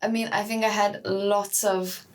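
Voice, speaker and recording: A woman's voice: medium pitch, flat delivery, unhurried, a little hiss or hum. A young woman from Lithuania, a graduating master's student, talking cheerfully as a podcast guest. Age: young adult. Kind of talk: casual podcast interview.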